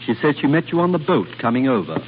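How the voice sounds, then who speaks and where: An actor playing a formal, deferential manservant in an old radio drama. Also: male voice, medium pitch, sing-song, quick pace, natural voice. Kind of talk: 1940s radio acting. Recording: tinny, reverberant, hissy old radio broadcast.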